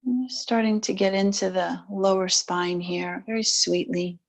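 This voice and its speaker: A woman instructor guides a relaxation and gentle stretching practice. Her voice sits at a middle pitch. She offers short, soothing instructions without hurry, leaving long silences between phrases. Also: steady tone, measured pace. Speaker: yoga nidra and stretch instructor.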